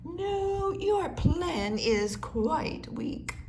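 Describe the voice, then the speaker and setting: Woman voicing a high-pitched, dramatic young butterfly. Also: big pitch swings, very slow, a little mellow, slight background noise, exaggerated voice. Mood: scornful, irritated. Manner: exclaiming, scornful, impatient. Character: vain, bossy, dramatic young female butterfly. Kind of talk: children's storybook character.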